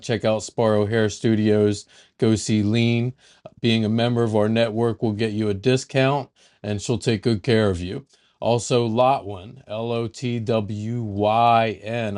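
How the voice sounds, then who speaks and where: A man hosts a casual sports podcast. His voice is smooth and clear, and he talks in a loose, rambling way with plenty of restarts. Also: medium pitch, a little mellow. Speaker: podcast host.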